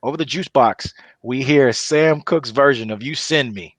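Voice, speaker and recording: A man's voice: medium pitch, normal speed, dry recording. A man narrating the stage directions of a comedy table read, reading scripted lines with casual asides.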